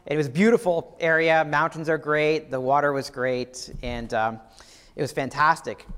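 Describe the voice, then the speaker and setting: A man's voice: a little high, fairly even intonation, moderate pace, a bit bright, clear, resonant tone. A man with a Canadian accent preaches a sermon, emphatically repeating his key phrases. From Ontario, Canada. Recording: long hall reverb.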